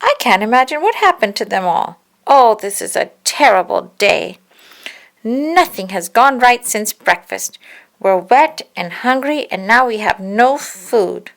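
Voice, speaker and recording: A woman's voice: fairly high pitch, lively intonation, unhurried, vocal fry, a bit bright, voice pushed up, heavily acted. A woman voicing an earnest, easily dismayed male bear in a children's storybook, with emphatic exclamations. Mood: miserable.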